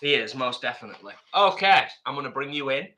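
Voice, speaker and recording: A man's voice: mid-range voice, quick pace, a little hiss or hum. A middle-aged man co-hosting a live stream in casual chat, reading out viewer comments. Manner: lively, chatty, reads viewer comments. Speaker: co-host.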